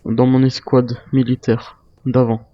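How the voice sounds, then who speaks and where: A man role-playing a military survivor in an online zombie game, speaking in halting, improvised phrases. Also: mid-range voice, level tone, no room sound, lo-fi sound. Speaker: video game role-player. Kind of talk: improvised in-character game chat.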